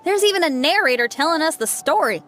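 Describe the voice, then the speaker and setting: Amused young companion. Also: female voice, high voice, expressive, fast. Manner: light, amused remarks. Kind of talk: game voice acting. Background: music.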